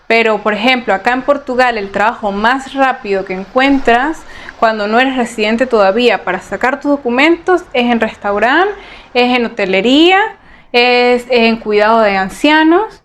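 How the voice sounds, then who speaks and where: A woman from Venezuela answers interview questions in a fairly high voice. She talks earnestly and practically, with frequent hesitations on "eh". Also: moderate pace, a bit bright. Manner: earnest, advice-giving, frequent "eh" fillers. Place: Portugal.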